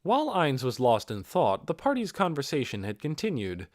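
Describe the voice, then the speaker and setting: A man narrates a fantasy story with a very lively, swinging intonation. His voice sits at a middle pitch, and the recording is dry, with hardly any room sound. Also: moderate pace, no echo. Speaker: amateur audiobook reader.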